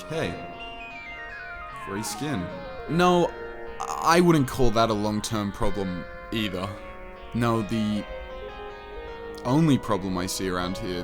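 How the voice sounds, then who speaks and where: Jaded fifteen-year-old post-apocalyptic narrator played by a man, comic monologue, very unhurried, earnest, with guitar music behind. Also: on the low side. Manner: weary, earnest monologue with rhetorical asides. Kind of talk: dramatic first-person comic narration.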